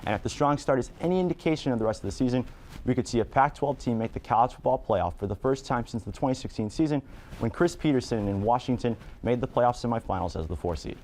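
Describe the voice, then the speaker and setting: Fast-talking male sports reporter. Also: medium pitch, darkish tone.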